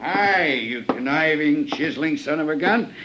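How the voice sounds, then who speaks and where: An actor playing a teasing, hearty retired private investigator with folksy banter, in 1950s radio drama style. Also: male voice, a little high, lively intonation, unhurried, noisy background. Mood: teasing, jovial. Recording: dull old radio recording.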